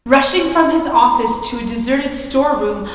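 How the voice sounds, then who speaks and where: Woman as dramatic radio serial narrator, high voice, music behind, big reverberant hall. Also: normal speed, lightly acted. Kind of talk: dramatic scripted narration, 1940s radio style. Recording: echoey hall.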